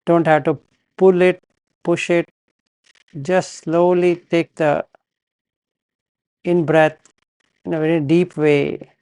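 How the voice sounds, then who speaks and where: A man guiding a meditation as a teacher, giving calm, measured instructions in short phrases with pauses between them. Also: fairly high pitch, smooth, no room sound.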